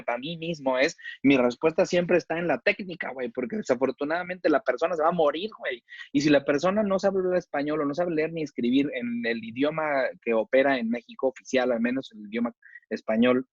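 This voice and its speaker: A man's voice: medium pitch, a little fast, dry recording, muffled recording. A man with a Mexican accent, a chef debating in a casual, slangy way and using plenty of rhetorical questions.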